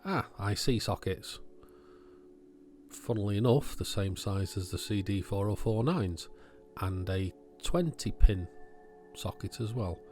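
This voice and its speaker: A man's voice: lowish voice, expressive, measured pace, a little mellow, soft background music. A man hosting a hobby electronics video, giving casual, matter-of-fact commentary as he thinks aloud while handling and testing things.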